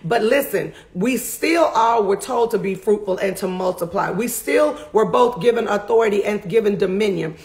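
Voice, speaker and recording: A middle-aged woman preacher with a raspy voice, recapping a sermon to camera with fervent emphasis from a home study. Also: fairly high pitch. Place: home study with bookshelves, indoors.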